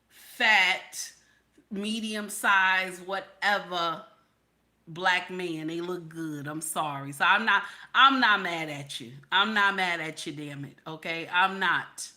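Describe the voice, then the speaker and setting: A woman's voice: fairly low pitch, bright, forceful tone. A woman hosting a livestream, speaking frankly and conversationally to her viewers, often checking in with "okay?". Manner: emphatic, keeps repeating "okay?" to her audience; reassuring, frank and conversational, with some swearing. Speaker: livestream host. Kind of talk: casual livestream talk to viewers.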